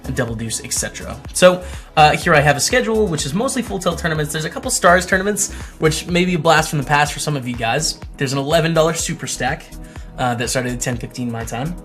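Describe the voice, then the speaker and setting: A man's voice: fairly high pitch, animated, fast talker, a bit bright, soft background music. A man hosting a casual vlog, reading written posts aloud and adding wry, chatty asides as he goes. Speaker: host, poker streamer. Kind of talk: casual commentary while reading old blog posts aloud.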